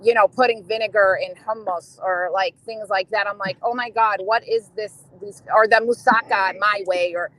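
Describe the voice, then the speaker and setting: A woman's voice: mid-range voice, steady tone, moderate pace, hardly any pauses, a bit bright, rough recording. A woman talking hesitantly in a casual group chat, turning to jokes. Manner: hesitant, then joking. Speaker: call-in guest.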